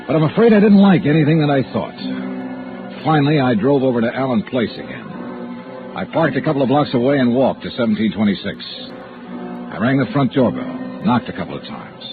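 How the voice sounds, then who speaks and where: An actor playing a shrewd, hard-boiled insurance investigator in a vintage radio noir, with wry, clipped, emphatic delivery. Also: male voice, mid-range voice, sing-song, fairly quick. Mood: wry narrating. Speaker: lead detective and narrator. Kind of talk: 1950s radio noir narration and acting. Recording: tinny old radio recording, music behind.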